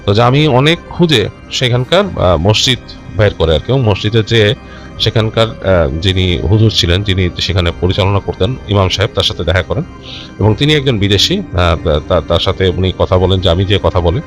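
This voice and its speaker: A man telling a horror story informally and unscripted, in a halting, conversational way with repeated phrases. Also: deep voice, expressive. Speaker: storyteller of a ghost story show. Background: background music.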